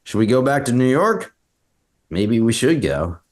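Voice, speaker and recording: A man plays a male amateur sleuth in a scripted read. His voice is medium in pitch, and he is earnest and inquisitive. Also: big pitch swings, a little slow, darkish tone. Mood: worried. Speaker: actor in a table read.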